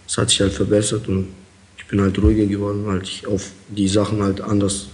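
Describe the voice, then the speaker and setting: Young man, low flat delivery, hesitant interviewee with frequent fillers. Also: a little fast, poor audio. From Kazakhstan. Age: young adult. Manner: hesitant, many fillers, calm and plain. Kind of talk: interview answers.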